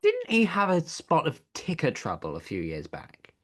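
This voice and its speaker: A man's voice: a little high, wildly swooping pitch, moderate pace, fairly bright tone, heavily acted. Guy playing a police inspector, blunt and sceptical, voice moves up and down a lot, stage play. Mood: brusque, probing. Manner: blunt, probing.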